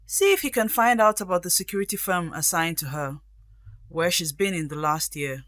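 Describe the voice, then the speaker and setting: A woman's voice: fairly low pitch, expressive, normal speed, fairly bright tone, no room sound, studio quality. A woman playing a self-assured, helpful friend in a radio drama, talking in a composed, conversational way.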